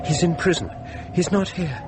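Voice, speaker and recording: A man's voice: high voice, normal speed, background noise, lightly acted. An actor playing a frightened, exasperated man in a radio horror drama, agitated and emphatic. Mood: exasperated, pleading. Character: frightened, exasperated stranded man pleading with a ghost.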